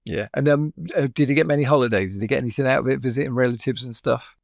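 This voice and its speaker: Gravelly-voiced man hosting a chat. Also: mid-range voice, rapid-fire, no room sound, clean studio sound. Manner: friendly, says brilliant and bonkers. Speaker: podcast host. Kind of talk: casual interview chat.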